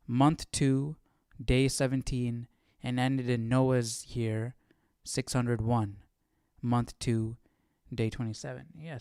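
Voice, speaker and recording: A man with a smooth, clear voice talks in a very dry space. He hosts casually, reading aloud and questioning as he goes, with relaxed slang and a thinking-out-loud manner. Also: medium pitch, no echo. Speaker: live-stream host. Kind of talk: casual study-along chat and reading aloud.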